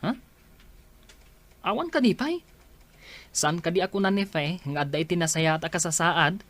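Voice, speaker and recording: A woman's voice: lowish voice, lively intonation, moderate pace, close to an everyday voice. A woman playing an inquisitive, concerned acquaintance in an amateur audio drama, with an Ilocano accent.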